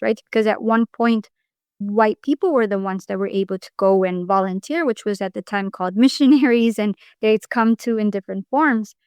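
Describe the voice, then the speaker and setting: Smooth-voiced woman guest, earnest and reflective. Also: mid-range voice, no echo, clean studio sound. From Mexico. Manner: earnest, reflective, frequent restarts. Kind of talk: podcast interview.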